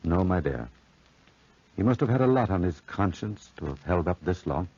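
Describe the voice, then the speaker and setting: Older country-doctor type, husky low voice, solemn, measured, soothing, vintage radio drama. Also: male voice, big pitch swings, darkish tone, heavily acted. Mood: grave. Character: grave, fatherly older doctor. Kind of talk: 1940s radio drama acting. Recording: dull 1940s radio recording.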